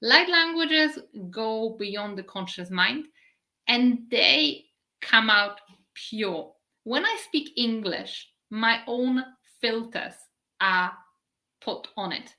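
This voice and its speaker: A middle-aged woman from Poland gives a spiritual live-stream talk. Her voice is bright and forward, and she stretches out key words slowly. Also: fairly high pitch, animated. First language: Polish. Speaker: spiritual teacher.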